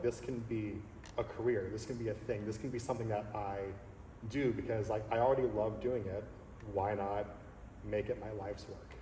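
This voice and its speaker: A man in his thirties, a songleader talking earnestly and reflectively as a guest in a casual interview, pausing often to find his words. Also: on the low side, warm tone. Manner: earnest, reflective, halting with fillers. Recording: echoey hall with faint noise.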